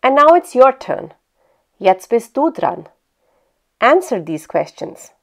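A woman hosting a language lesson, reading a scripted narration in a clear, steady way. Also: a little high, slow. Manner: clear, steady instructional delivery.

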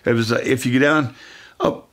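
A man's voice: medium pitch, a little fast. An older man, a retired police officer, telling old stories in a casual sit-down conversation and acting out the voices of people he quotes. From Pennsylvania, USA. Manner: animated, acts out quoted voices.

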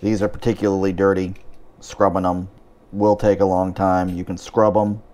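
A man's voice: deep voice, little pitch movement, moderate pace, darkish tone. A man hosting a home cooking show, talking through each step in a casual way with dry, wry asides.

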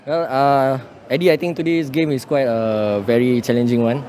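A man's voice: medium pitch, steady tone, moderate pace, darkish tone, slight background noise. A young man with a Singaporean accent, a football fan answering casually and hesitantly into a handheld phone outdoors. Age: young adult. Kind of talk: casual post-match interview. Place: outdoor stadium entrance. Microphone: handheld phone held toward him.

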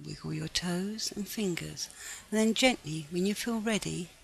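A woman reading a scripted guided meditation in a gentle, measured, instructive manner. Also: lowish voice, creaky.

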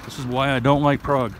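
A middle-aged man, chatting casually and grumbling to a handheld phone camera as he walks. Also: medium pitch. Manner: chatty, grumbling asides while walking. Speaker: vlogger. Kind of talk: casual walking vlog. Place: outdoors on streets, then inside a shopping mall. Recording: noisy handheld phone audio.

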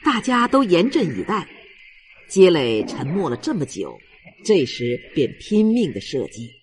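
A woman's voice: fairly low pitch, big pitch swings, a little slow, a little hiss or hum. A woman reading a novel aloud as an audiobook narrator in a scripted storytelling style.

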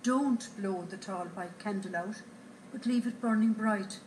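A woman recites a poem at a slow, steady pace with a mid-range voice. The theatre gives her words a roomy echo. A faint hiss sits beneath the recording. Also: mid-range voice. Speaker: poetry reader. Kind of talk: reading a poem aloud. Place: theatre stage. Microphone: far camera in the audience.

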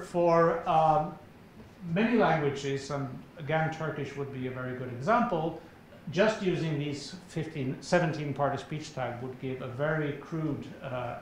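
Middle-aged man, Swedish accent, somewhat high voice, measured lecture delivery, booming hall echo, faint rumble. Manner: measured, explanatory, frequent filler pauses. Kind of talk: academic lecture. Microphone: clip-on lapel mic.

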